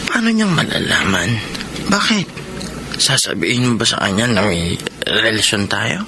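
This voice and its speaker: Isang lalaking gumaganap bilang tusong kalaguyong mahusay mambola, sa dramang panradyo. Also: male voice, fairly low pitch, wildly swooping pitch, moderate pace, vocal fry, bright, forceful tone, slight background noise, full character voice. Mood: coaxing, reassuring. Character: smooth-talking scheming male lover, gambler using older mistress. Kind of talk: Tagalog radio drama acting.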